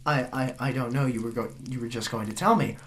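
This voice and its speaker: A woman's voice: extremely low pitch, quick pace, a little hiss or hum. A woman playing a polite, flustered young man visiting a village in a comic audio drama. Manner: polite, hesitant, increasingly exasperated.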